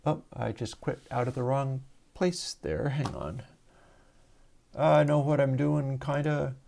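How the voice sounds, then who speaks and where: Male livestream host, soothing and mellow, easygoing explainer on a lav mic. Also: medium pitch, takes it slowly, creaky. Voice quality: soft, soothing voice. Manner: relaxed, rambling, explains gear step by step. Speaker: livestream host and audio developer. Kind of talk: casual livestream demo. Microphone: lavalier mic.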